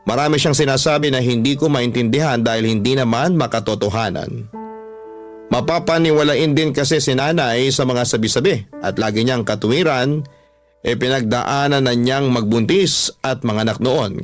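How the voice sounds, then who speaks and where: A man's voice: medium pitch, moderate pace. A man with a Filipino accent reading a first-person story as a radio storyteller. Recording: music under the voice. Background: background music.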